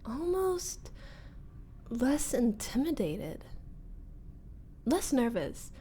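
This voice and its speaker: Casting: actress as young female ex-bully roommate, unhurried, wry, easily flustered, roleplay audio with some background noise. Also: a little high, very animated, mellow, exaggerated voice. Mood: awkward, teasing. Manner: hesitant, sighing, nervous laughs, wry muttering. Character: young woman, flustered former school bully meeting new roommate. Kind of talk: scripted roleplay audio monologue, ASMR-like.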